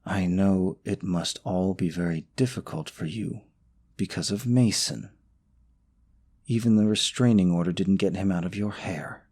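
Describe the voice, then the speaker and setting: A man's voice: low-pitched, a little slow, a little mellow. A young man acting in an audio roleplay as a scheming, possessive boyfriend, falsely sweet and coaxing, snide about his rival. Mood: coaxing, menacing.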